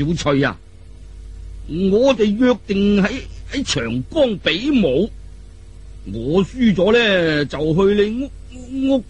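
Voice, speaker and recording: Stuttering, high-pitched old martial artist. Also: male voice, husky, full character voice. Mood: gloating. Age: older. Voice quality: heavy stutter. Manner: blustering, drawn-out delivery. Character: stuttering eccentric old martial artist, boastful, contrary, bullying.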